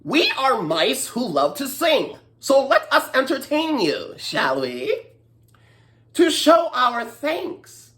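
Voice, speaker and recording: A woman's voice: high-pitched, very animated, slow, a bit bright, voice pushed up, full character voice. A woman voicing happy, grateful mice in a children's folktale, chanting in a cheerful singsong. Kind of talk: singsong children's storybook acting.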